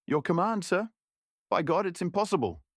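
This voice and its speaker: A man's voice: sits high, lively intonation, moderate pace, no echo, clean studio sound, pitched above the performer's own voice. A man playing a frightened, stubborn young seminary student in a story, pleading and protesting. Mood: defiant.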